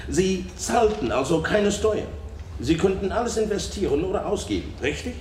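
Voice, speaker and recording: A pointed, sardonic cross-examining lawyer in a radio docudrama. His voice runs a little high and moves in lively swings. He presses every question in an echoing room with faint noise behind. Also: moderate pace, crowd noise. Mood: pointed, probing. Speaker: courtroom examiner questioning a witness. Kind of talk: radio docudrama courtroom acting. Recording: roomy echo, faint background noise.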